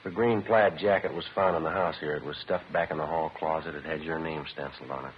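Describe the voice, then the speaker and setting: A terse male detective with an extremely low voice and quick, clipped delivery. He questions in a deadpan, matter-of-fact way, in the manner of 1950s radio crime drama, on a muffled old recording. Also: slight background noise. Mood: deadpan, probing. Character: terse, methodical male police detective interrogating a suspect.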